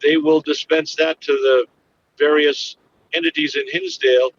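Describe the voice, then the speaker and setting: Calm guy, plain talk, sounds far from the mic and kinda muffled, bit of hiss. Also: a little high, normal speed. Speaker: interviewee. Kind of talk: recorded interview.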